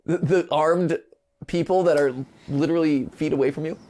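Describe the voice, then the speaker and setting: A man hosting a reaction show, giving excited, joking and incredulous commentary full of exclamations. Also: a little high, moderate pace.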